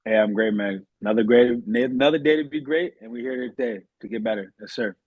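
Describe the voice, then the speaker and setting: Young male guest, husky mid-range voice, earnest and polite, casual interview delivery, dull close-up recording. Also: medium pitch, fairly quick, no room sound. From Massachusetts, United States. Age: young adult.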